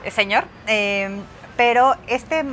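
A chatty Mexican woman co-hosting a podcast, with a somewhat high voice. She rambles through her recommendations with a steady stream of fillers and restarts. A faint hiss sits under her voice. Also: slow, low-quality recording. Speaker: podcast co-host recommending films and series. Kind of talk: casual chat.

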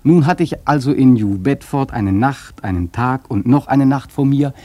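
A man acts out a wry, brooding seafarer telling his tale in a literary radio play. The voice is husky and mellow, recorded close and dry. He speaks reflectively, placing his emphasis with dramatic care. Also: mid-range voice, animated, normal speed, no echo, close to an everyday voice. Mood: gloomy storytelling. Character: brooding, wry sailor narrator drawn to the sea.

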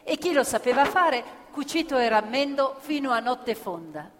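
A woman narrating scripted theatre as an actor, expressive and deliberate in her phrasing. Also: high-pitched, slight background noise. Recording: reverberant hall with live music. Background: faint live music.

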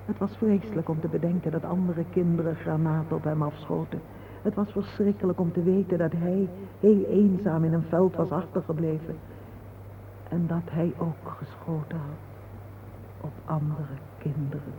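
Radio drama, narrow phone-like sound with light hiss: serene older grieving mother, gentle, consoling, unhurried. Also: low-pitched, steady tone. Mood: quiet grief. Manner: slow, patient, consoling. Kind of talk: solemn radio drama acting. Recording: muffled, noisy old radio play recording.

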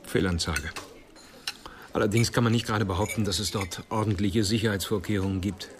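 An actor playing a matter-of-fact police investigator in a German radio crime drama, reporting in a conversational way. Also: male voice, lowish voice, steady tone, fairly quick, background noise, close to an everyday voice.

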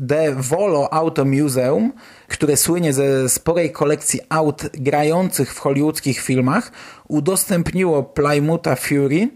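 A man's voice: a little high, darkish tone. A man presenting news on a podcast in a colloquial, enthusiastic way, as its host. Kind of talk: casual news commentary on a podcast.

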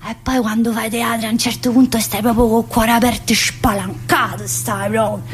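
A woman's voice: on the high side, steady tone, moderate pace, faint music behind, natural voice. An actress playing a talkative, teasing, wounded woman prisoner in a theatrical monologue, with a southern Italian accent and a rambling, colloquial manner. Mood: wistful, emotional. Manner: rambling, colloquial, full of tag questions. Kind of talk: theatrical monologue in colloquial dialect-tinged speech.

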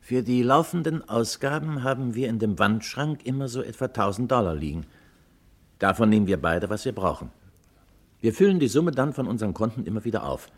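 A man's voice: medium pitch, expressive, lightly acted. A man playing a puzzled, well-off husband confiding carefully in an old friend, in a 1950s radio crime drama. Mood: puzzled, explaining. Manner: deliberate, explanatory, carefully emphatic.

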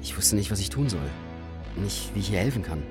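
A man's voice: deep voice, animated, brisk, music in the background. An actor playing a troubled, defensive young man who recounts past events reflectively in a radio drama. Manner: reflective, confessional. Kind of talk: interview-like radio drama narration.

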